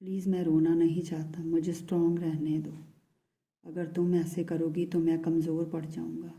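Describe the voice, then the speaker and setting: Woman voicing a tender pleading lover man, soft and hushed, whispering, very mellow, flat. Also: deep voice, moderate pace. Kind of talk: channelled love message in first person.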